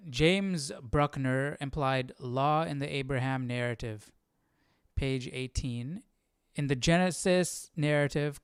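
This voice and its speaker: A man's voice: mid-range voice, clear, resonant tone, no echo, clean studio sound. A man hosting a live Bible study, informal and curious, thinking aloud as he chats and reads. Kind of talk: casual livestream chat and reading aloud.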